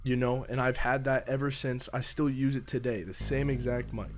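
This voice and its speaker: A young man with a naturally deep voice giving a casual, slangy tutorial on mixing vocals. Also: fairly even intonation, normal speed, soft background music. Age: young adult. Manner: laid-back slangy teen talk, direct tips. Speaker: music producer teaching vocal mixing.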